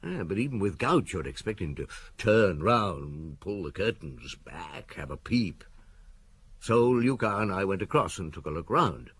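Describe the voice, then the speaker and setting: An actor playing a shrewd, authoritative chief inspector with a husky voice in a radio murder mystery. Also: male voice, deep voice, sing-song, measured pace, fairly bright tone, heavily acted. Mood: dry recounting. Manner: probing, authoritative, wry. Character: shrewd, commanding male police chief inspector.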